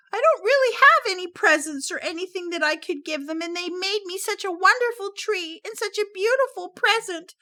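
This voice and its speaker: A woman's voice: extremely high pitch, expressive, moderate pace, pushed and bright, voice pushed up, exaggerated voice. A woman voicing a sweet, anxious young male monkey in a children's puppet show, exclaiming and fretting aloud. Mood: worried.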